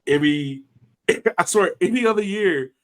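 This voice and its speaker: A man hosting a soccer podcast, speaking loosely with lots of "like" and quick restarts. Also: high voice, lively intonation, a bit bright. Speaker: podcast host. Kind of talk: casual soccer podcast chat.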